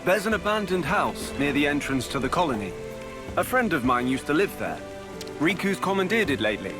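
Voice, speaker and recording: A man's voice: fairly high pitch, sing-song, brisk, music in the background. A man playing a thoughtful, level-headed resistance member in a video game cutscene. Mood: helpful.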